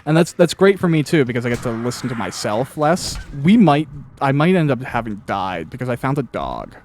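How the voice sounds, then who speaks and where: A man's voice: mid-range voice, big pitch swings, fairly quick, a little mellow, a little hiss or hum. A man hosting a casual, conversational interview while playing a video game, thinking aloud as he talks. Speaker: host, interviewer and producer of an audio drama.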